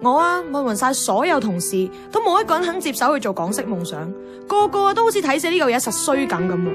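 Casting: young Hong Kong Cantonese career woman, fairly high voice, self-deprecating, expressive, radio drama with music. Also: fast talker. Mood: exasperated. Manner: expressive, colloquial, English words mixed in. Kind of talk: radio drama dialogue and monologue.

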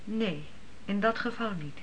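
An actress playing a loyal, slightly hesitant young wife answering frankly in a radio drama. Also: female voice, medium pitch, unhurried, slight background noise, natural voice, poor audio. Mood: hesitant, sincere.

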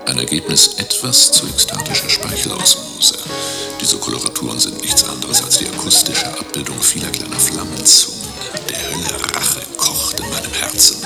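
An actor playing a pompous, philosophising oddball in a satirical radio drama, declaiming with whispery asides. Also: male voice, extremely low pitch, wildly swooping pitch, normal speed, creaky, over loud music, heavily acted. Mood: lecturing.

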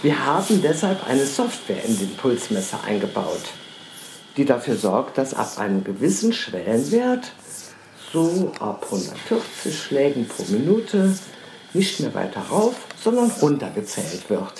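A man's voice: medium pitch, big pitch swings, a little slow, darkish tone. A man playing a smug official who explains cynical measures matter-of-factly in a mock-documentary interview. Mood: smug, explanatory. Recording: roomy echo, faint noise.

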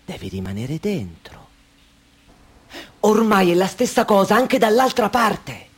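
A husky, breathy voice belongs to a fretful, controlling man who keeps a young woman indoors, in a radio drama. His pitch rises and falls widely as he goes from low murmurs to urgent warnings. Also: huge pitch swings, a little slow, heavily acted. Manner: brooding, insistent, swings between hush and urgency. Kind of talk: Italian radio play acting.

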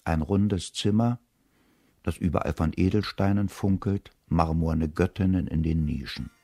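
Very deep male radio play narrator. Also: unhurried, creaky, mellow, no echo, studio quality.

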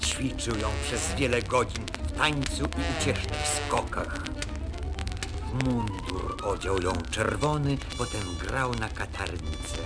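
A man telling a rhymed children's story, reading the verse expressively and deliberately. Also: mid-range voice. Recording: vinyl record with music behind. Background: music.

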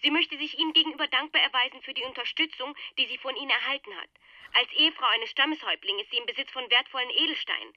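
An actress playing a polite, formal aid official on the telephone in a radio play, persuasive and businesslike. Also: female voice, high voice, quick pace, dry recording, natural voice. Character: smooth-talking, formal female aid official running a scam. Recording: dry, dull telephone sound.

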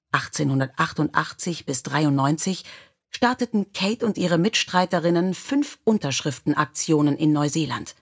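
A woman narrates in a scripted storybook style. Her voice sits a little low for a woman and has a slightly husky, less polished grain. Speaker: audiobook narrator.

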